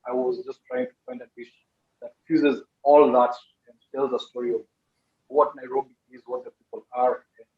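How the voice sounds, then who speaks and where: A man from Nairobi, a contestant, calmly explaining his dish over a video call. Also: medium pitch, normal speed. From Kenya. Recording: echoey, dull video call audio.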